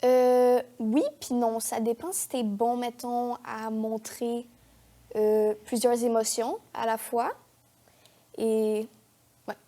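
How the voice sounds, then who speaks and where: A woman's voice: on the high side, level tone, slow pace, warm tone. A teenage girl with a Quebec French accent chatting casually as an interview guest, with youthful, informal phrasing. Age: teens. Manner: youthful informal talk peppered with "genre" and "comme". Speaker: guest, young actress. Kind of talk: casual chat. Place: TV studio.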